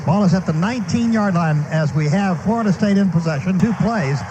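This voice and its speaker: Male American radio play-by-play announcer, high-pitched, clipped live football calls, noisy archival broadcast with stadium din. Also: fairly quick. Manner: short clipped phrases calling action as it happens.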